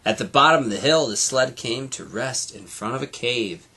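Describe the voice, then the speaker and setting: A man reading a children's storybook aloud in an animated way, like a teacher to young students. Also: medium pitch, moderate pace, slightly rough.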